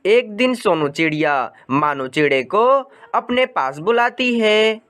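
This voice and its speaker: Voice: mid-range voice, lively intonation, takes it slowly, a bit bright. A narrator reading scripted children's stories about birds. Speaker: story narrator.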